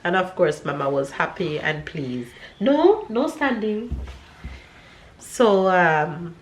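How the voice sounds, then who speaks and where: A woman's voice: fairly low pitch, sing-song, takes it slowly. A Jamaican woman in her thirties with a stuffy nose, chatting casually to her camera and her kids. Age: 30s. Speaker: family vlogger. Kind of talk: casual family vlog. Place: home kitchen. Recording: echoey home room with noise.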